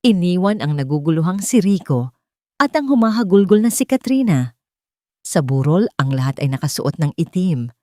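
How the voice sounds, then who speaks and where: A woman narrates a dramatic scripted tale in a fairly low female voice. The sound is dry and close, with little room in it. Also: sing-song, normal speed, dark tone, no echo.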